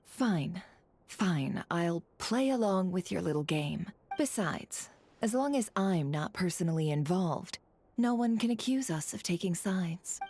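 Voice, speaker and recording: A woman voicing a stern, exasperated female boss in a video game, speaking in a dry, measured, sardonic way with menacing politeness. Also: lowish voice, animated, darkish tone, a little hiss or hum. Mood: weary, reluctant.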